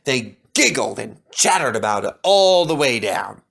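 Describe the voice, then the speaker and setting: Slow, expressive storytelling man. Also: mid-range voice, vocal fry. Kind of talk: scripted children's storybook narration.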